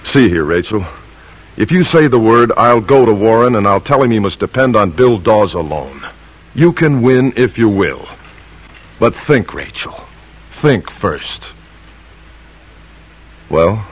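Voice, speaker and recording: An actor playing a resolute, middle-aged colonial patriot husband with a husky voice, earnest and gentle, in an old-time radio drama. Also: male voice, fairly low pitch, sing-song, unhurried, long pauses, exaggerated voice, low-quality recording. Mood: tender, pleading.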